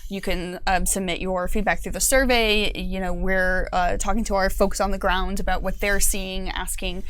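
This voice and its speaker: Woman in her 30s on a game studio panel, lots of ums, lapel mic, mid-pitched. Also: little pitch movement, normal speed, fairly bright tone, clean tone. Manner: explanatory, with many fillers. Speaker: game designer. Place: small studio with curtain backdrop.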